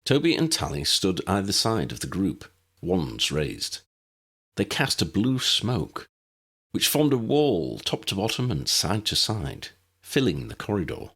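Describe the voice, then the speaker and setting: A man's voice: sits low, huge pitch swings, a little slow, fairly bright tone, breathy edge. A man reading a scripted story aloud as an audiobook narrator.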